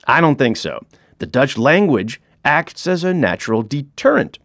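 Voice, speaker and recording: A man's voice: medium pitch, very animated, moderate pace, creaky, a bit bright, dry recording, studio quality. A middle-aged man reading his own comic audiobook in a wry, self-mocking storytelling manner.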